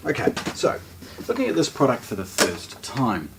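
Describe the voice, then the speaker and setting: A man's voice: medium pitch, wildly swooping pitch, moderate pace, noisy background. A man with a New Zealand accent presenting a casual product unboxing, explaining things informally step by step as he handles them.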